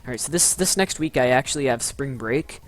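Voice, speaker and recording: A man's voice: mid-range voice, animated, a little fast, soft background music. A young man in his teens chatting casually on a live stream, stuttering and restarting often as he bluntly roasts commenters. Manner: stuttering, rambling, with frequent restarts.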